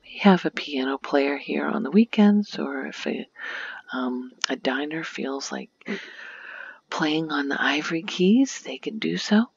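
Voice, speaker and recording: Soft, breathy woman giving an ASMR tour. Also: low voice, animated, measured pace, muffled recording. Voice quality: soft, breathy, often near whisper. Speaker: video game streamer and ASMR creator.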